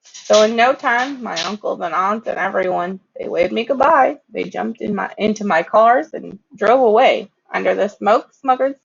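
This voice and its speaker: A reader giving an expressive, dramatic read-aloud of a rhyming story, now and then stumbling over words. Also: mid-range voice, moderate pace, creaky, husky, lo-fi sound.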